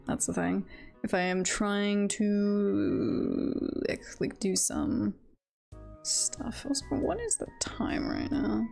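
A woman's voice: medium pitch, slow pace, gravelly, darkish tone, soft background music. A woman streamer giving casual live game commentary, thinking aloud and chattily repeating phrases to herself. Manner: chatty, self-talking, often repeats phrases.

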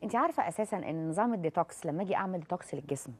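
A woman's voice: lowish voice, animated, a little mellow, breathy edge. A woman in her thirties with an Egyptian accent, giving medical advice as a guest on a TV talk show, reassuring and affectionate with callers. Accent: Egyptian Arabic. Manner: fast, reassuring, calls callers dear. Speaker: doctor guest. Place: TV studio.

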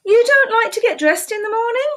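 A woman's voice: extremely high pitch, animated, fairly quick, fairly bright tone. A woman in her sixties reading a picture book aloud to young children in a sing-song storytelling voice, asking them questions, recorded on a webcam at home. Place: small room at home, indoors. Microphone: webcam at desk.

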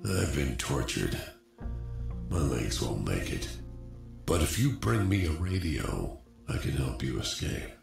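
An actor playing a stern, weary old army colonel in a video game, gravelly, with clipped fatherly military speech. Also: a bass voice, big pitch swings, measured pace, very echoey, music in the background, full character voice. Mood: weary, advising. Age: older.

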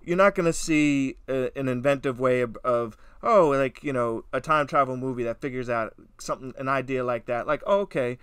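Man, mid-pitched, crisp voice, dry close mic, casual film discussion, emphatic, profane. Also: normal speed, hardly any pauses, a bit bright, no room sound. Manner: emphatic, profane, peppered with "you know" and "right?". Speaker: podcast co-host and film reviewer.

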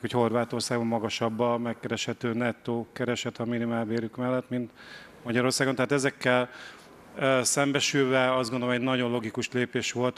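A man's voice: mid-range voice, fairly bright tone, a little hiss or hum. A man in his forties on a conference panel, speaking in a matter-of-fact, businesslike way into a handheld mic. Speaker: panelist, staffing company representative. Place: conference stage, indoors. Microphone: handheld microphone.

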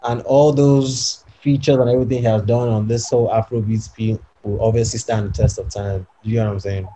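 Young man, mellow dark voice, emphatic, casual podcast debate, video call from home. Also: lowish voice, a little fast, slight background noise, low-quality recording. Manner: animated, emphatic, persuasive, often asks "you know what I'm saying". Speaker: podcast co-host. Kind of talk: casual debate among friends.

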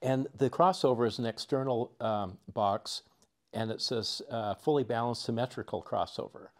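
A man's voice: mid-range voice, moderate pace. Male interviewer, older, mid-range voice, technical talk on a lapel mic. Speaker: interviewer and reviewer. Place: carpeted home listening room, indoors. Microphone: clip-on lapel mic.

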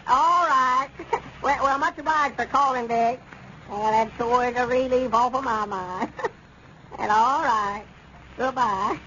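High-voiced, folksy old country storekeeper. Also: male voice, slow pace, bright, forceful tone, heavily acted. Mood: relieved, excited. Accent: rural Southern US. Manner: hesitant, stammering, folksy. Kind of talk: old-time radio comedy acting. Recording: dull, noisy old radio recording.

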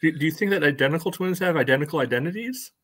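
A man's voice: on the high side, expressive, rapid-fire, a bit bright, husky. A man in his thirties chatting casually as a panelist on a video call, with a wry, joking manner. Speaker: podcast panelist. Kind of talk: casual chat. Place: room at home, on a video call.

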